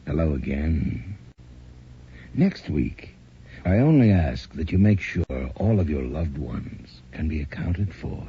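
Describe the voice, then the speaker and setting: A man hosting a radio drama, signing off and announcing next week in an ominous tone. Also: very animated. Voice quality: deep, husky and slow. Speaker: host. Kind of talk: ominous radio host sign-off. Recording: dull old radio broadcast.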